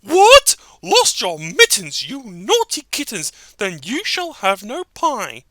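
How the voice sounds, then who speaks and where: A nursery-rhyme reader voicing a stern mother cat who scolds her kittens with sharp exclamations. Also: medium pitch, huge pitch swings, slow, no room sound, studio quality, voice pushed up, full character voice. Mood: scolding.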